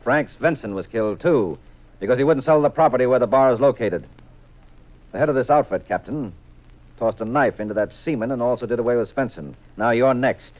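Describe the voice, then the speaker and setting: An actor playing a cool, shrewd private detective, brisk and assured, in a hard-boiled 1950s radio drama style. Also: male voice, medium pitch, lightly acted. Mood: firm, bluffing. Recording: muffled, hissy 1950s radio recording.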